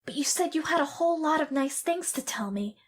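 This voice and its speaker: High-voiced, fretful sickly young boy. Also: lively intonation, fairly quick. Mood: subdued. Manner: plaintive, childlike. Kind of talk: audio drama acting.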